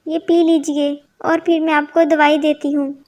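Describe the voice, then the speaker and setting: Woman doing super high young wife bird for a cartoon, long-suffering n earnest, kinda monotone. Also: moderate pace, lightly acted. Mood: caring. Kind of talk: Hindi cartoon story dubbing.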